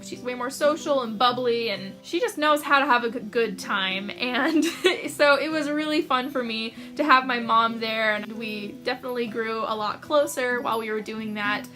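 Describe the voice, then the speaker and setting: A woman's voice: on the high side, bright, forceful tone. A young American woman telling personal stories to camera in a chatty, casual way, laughing often and filling with "like". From United States. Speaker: author vlogger.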